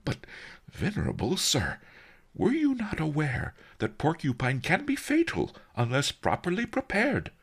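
A huge, gluttonous storybook merchant, voiced by a male reader. He speaks in a raised, husky, gasping voice, anxious and fawningly deferential, with very animated swoops. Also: on the high side, a little slow, pitched above the performer's own voice, heavily acted. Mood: aghast.

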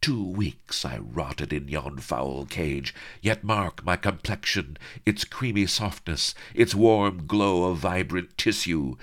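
A man's voice: low-pitched, animated, slow pace, hardly any pauses, a bit bright, voice pushed up, exaggerated voice. A man voicing a swaggering, mocking pirate sea queen with a throaty, mock-archaic manner.

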